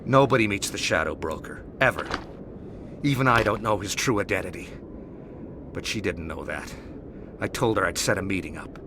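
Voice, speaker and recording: A man's voice: fairly low pitch, moderate pace, long pauses, noisy background, natural voice. An actor voicing a cowardly male crook in a video game, panicky and pleading, stammering as he begs. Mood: nervous. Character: cowardly male warehouse worker and crime boss. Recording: game audio with loud score behind.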